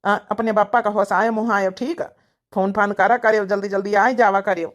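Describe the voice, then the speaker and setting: A woman's voice: medium pitch, steady tone, a little fast, close to an everyday voice. A woman voicing a sentimental village parent fussing over a departing bride in an Awadhi comedy cartoon, with an Awadhi accent. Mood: tender, sad.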